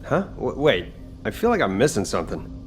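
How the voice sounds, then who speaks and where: A man's voice: on the low side, wildly swooping pitch, normal speed, faint music behind. An actor playing a cocky, exasperated young male soldier in a video game, brash and teasing. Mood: casual, teasing.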